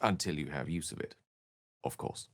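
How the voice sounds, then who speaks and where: An actor playing an eloquent, wry, romantic scholarly wizard in a fantasy video game, speaking in an articulate, theatrical way. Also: male voice, very deep voice, normal speed, creaky, dry recording, studio quality. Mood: playful, romantic.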